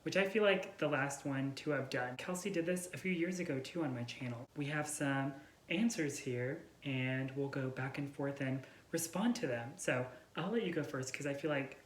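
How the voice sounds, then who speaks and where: A man's voice: medium pitch, moderate pace. A man talks informally as a vlog co-host, chatting with a friend about books. His sentences are loose and full of "like". The room is echoey, with faint noise behind him. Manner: informal, filler-heavy with frequent "like". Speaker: book vlogger and co-host. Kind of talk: casual chat between friends about books.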